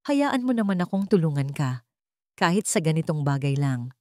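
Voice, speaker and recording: A woman with a Filipino accent voicing an earnest, protective man, gentle but firm, in a storybook tale. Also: low-pitched, very animated, normal speed, no echo, clean studio sound. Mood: tender, serious. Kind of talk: storybook character voice.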